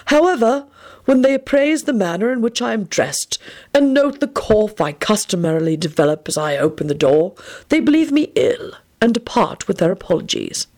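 A sleepy, whimsical old wizard , as a woman reader puts him on, drawling his words slowly with a lofty, mannered air. His pitch climbs and dips a lot. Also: sing-song, a little mellow. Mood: weary. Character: aged male wizard headmaster, drowsy and whimsical.

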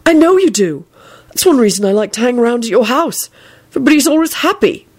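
An earnest, flustered young man with a short temper, voiced by a woman reader. The voice is raised high and blurts its words with lively swings, like an audiobook character. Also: fast talker, dry recording. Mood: worried. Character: awkward, loyal, hot-tempered young man, anxious and earnest.

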